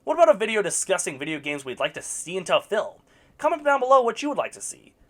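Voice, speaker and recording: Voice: mid-range voice, sing-song, quick pace, a bit bright. A young adult video reviewer delivering scripted movie commentary with sarcastic, blunt verdicts.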